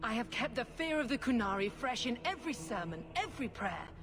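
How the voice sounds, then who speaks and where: An actress playing a self-righteous church leader who accuses and preaches. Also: female voice, on the high side, very animated, brisk. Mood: accusing.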